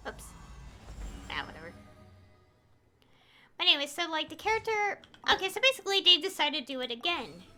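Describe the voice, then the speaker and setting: A woman streaming games talks in a high, very lively voice with a bright edge. She chats casually and rambles, often breaking her thoughts into halting fragments. There is faint noise behind her. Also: faint music behind. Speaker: game streamer. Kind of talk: casual livestream chat.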